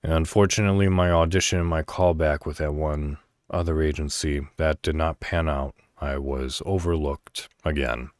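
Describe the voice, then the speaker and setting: A man's voice: very deep voice, dry recording, studio quality. An American man hosting a solo podcast, talking casually and candidly as he rambles and thinks aloud. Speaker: podcast host.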